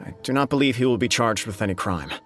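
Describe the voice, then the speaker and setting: A man as a courteous, mid-pitched samurai official. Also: fast, music in the background, natural voice. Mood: welcoming, formal. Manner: formal, polite, diplomatic. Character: courteous, dignified male samurai official of a shogunate. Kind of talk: video game voice acting.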